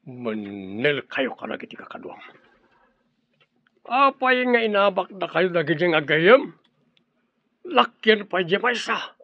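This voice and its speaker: Menacing gravelly Ilocano crime boss. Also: male voice, high voice, big pitch swings, slow, long pauses, no echo, heavily acted. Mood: angry, threatening. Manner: barking, threatening commands. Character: menacing, hot-tempered male crime boss. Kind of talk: Ilocano radio drama acting. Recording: dull, lo-fi radio drama audio.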